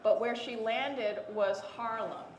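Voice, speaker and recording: A woman's voice: fairly high pitch, fairly even intonation, slow pace, dull audio. A woman telling a family story on a panel, emphatic and repeating words for stress. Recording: echoey room with faint noise.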